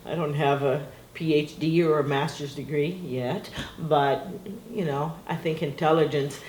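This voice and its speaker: A woman's voice: low-pitched, steady tone, measured pace, a little mellow. A middle-aged woman whose first language is Portuguese reminisces in a loose, rambling way, often breaking into laughter. The room sounds echoey and roomy. A faint hiss sits behind her voice. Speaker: interviewee. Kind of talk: casual reminiscing interview.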